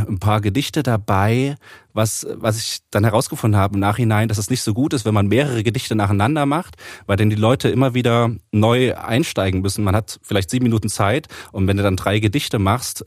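A man's voice: on the low side, no room sound, studio quality. A man speaking as an interview guest, explaining things casually and a little hesitantly as he thinks aloud. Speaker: interview guest, author and poetry slammer.

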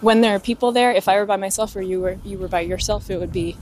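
A woman of middling pitch chats outdoors while walking through a city, hesitating and reaching for words with little fillers. Faint traffic hum sits underneath her voice. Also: quick pace. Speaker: writer and guest. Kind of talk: casual conversation while walking.

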